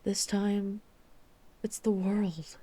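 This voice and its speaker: Slow, dark-toned tormented vampire huntress, acted by a woman. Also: medium pitch, steady tone. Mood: guilty, despairing. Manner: slow, halting, dramatic pauses, breathy sighs. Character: tormented female vampire hunter, guilt-ridden, growing dark and savage. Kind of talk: intimate ASMR roleplay audio drama.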